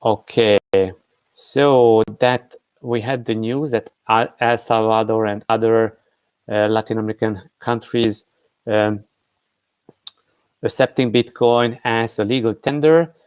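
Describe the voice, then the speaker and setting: A man talks through the day's news off the cuff, pausing on frequent fillers and restarting his sentences. His voice sits fairly low and comes across smooth and resonant. The sound is close and dry. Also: fairly even intonation, a little mellow, dry recording. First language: Hungarian. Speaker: crypto news host. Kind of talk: unscripted commentary on news.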